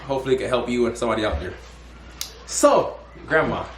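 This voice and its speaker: A man's voice: medium pitch, lively intonation, fast, noisy background. A young man hosting a casual interview, rambling and restarting his questions. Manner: rambling, restarts and repeats words. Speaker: host. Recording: echoey room.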